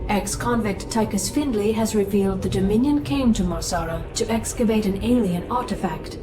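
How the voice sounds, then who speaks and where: A composed briefing announcer played by a woman sounds a little distant amid clear noisy ambience. She is measured and informative, reading scripted mission narration for a game. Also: mid-range voice, lightly acted.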